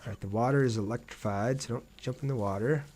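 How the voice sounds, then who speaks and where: A man's voice: mid-range voice, fast, a little mellow, slightly rough. Chatty male livestream gamer. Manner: chatty, thinks aloud, addresses viewers. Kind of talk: casual livestream gameplay commentary.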